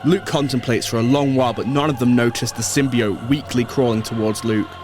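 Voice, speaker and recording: A man narrates an audio drama from a script, describing the action as it unfolds. His pitch is average for a man, and prominent music plays behind him. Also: fairly even intonation, brisk.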